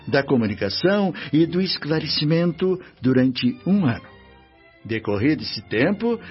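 An actor with a Brazilian accent playing a benevolent, authoritative spirit minister in a radio drama, speaking in a formal, instructive, measured manner. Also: male voice, medium pitch, lightly acted. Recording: dull radio recording, faint music.

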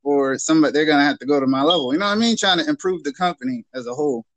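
Male, 30s, higher-pitched, informal and sweary interview guest, dry recording. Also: racing, fairly bright tone, no room sound. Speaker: guest, independent wrestler. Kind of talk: casual chat, slangy podcast talk.

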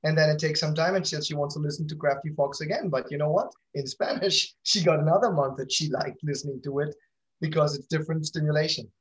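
A man's voice: fairly high pitch, steady tone, fast talker, rough recording. A middle-aged man with a German accent, a native German speaker, talking casually as an interview guest and often searching for words. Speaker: podcast guest, company founder.

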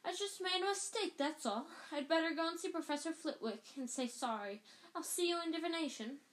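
A high, squeaky schoolgirl, flustered and fretful, who stumbles over her words in a storybook reading, as a woman puts her on. Her voice jumps well above the reader's usual pitch. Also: brisk. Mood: defensive.

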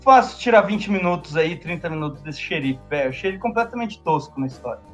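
Slangy high-voiced podcast guy. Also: expressive, quick pace, a bit bright, faint music behind. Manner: slangy, loose, laughs often. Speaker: podcast co-host. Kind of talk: casual film review chat.

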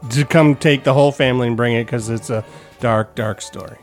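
A man's voice: medium pitch, fairly even intonation, brisk. A man with a mid-range voice hosts a casual chat. He rambles along in slangy, joking fashion, as if among friends. Faint music sits behind him. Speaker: show host interviewing guests. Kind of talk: casual chatty interview.

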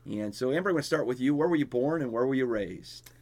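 A middle-aged or older man with a gravelly voice, speaking as a pastor hosting a podcast interview. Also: medium pitch, lively intonation, fast, a little mellow. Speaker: podcast host and church pastor.

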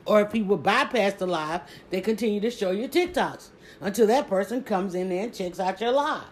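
A woman with a Southern accent chatting casually on a live stream, talking quickly and restarting her sentences often. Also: medium pitch. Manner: rapid, stumbling restarts, animated emphasis. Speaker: social media creator.

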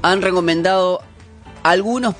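A middle-aged man with a Uruguayan accent hosting a casual radio chat, halting as he searches for words. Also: high voice, a bit bright. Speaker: radio host. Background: music in the background.

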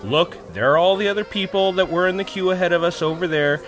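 A man's voice: sits high, quick pace, fairly bright tone. A man playing a dry, pedantic know-it-all in a podcast audio drama, matter-of-fact and correcting. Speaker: podcast cast member.

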